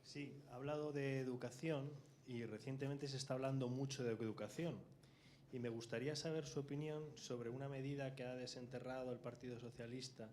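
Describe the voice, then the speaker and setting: A man's voice: medium pitch, darkish tone, slight background noise. A male journalist putting a question at a press conference, hesitating a little and repeating words. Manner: slightly halting, repeats words.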